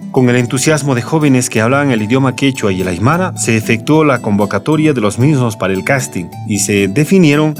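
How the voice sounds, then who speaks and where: A man's voice: medium pitch, fairly quick, few pauses. Male documentary narrator over guitar music. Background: plucked guitar music.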